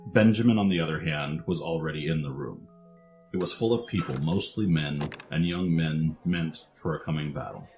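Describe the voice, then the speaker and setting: A man's voice: rumbling low, a little mellow. A man narrating a scripted story for an audio drama.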